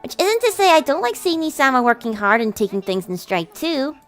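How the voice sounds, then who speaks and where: Gentle, wistful little sister for an anime-style game, polite and high-voiced, acted by a woman, over music. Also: very animated, a little fast. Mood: doting, teasing. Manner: soft, polite, doting. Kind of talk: visual novel character voice in a let's play.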